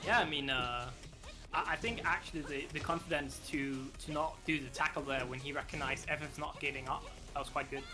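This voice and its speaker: A man breaks down fighting-game matches in a measured, analytical way. His voice sits a little high. Game music plays clearly behind him. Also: steady tone. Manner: analytical, measured, many fillers. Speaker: esports co-commentator.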